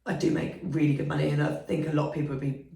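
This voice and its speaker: An Englishwoman co-hosting a podcast, husky from a flu, talking frankly and swearing freely. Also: low voice, flat delivery, racing, warm tone. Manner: blunt, swears freely, self-assured. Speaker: podcast co-host. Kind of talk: frank casual chat. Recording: roomy echo, distant mic.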